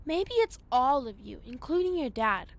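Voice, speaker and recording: A girl playing an earnest, gentle friend. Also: high-pitched, big pitch swings, normal speed, faint music behind.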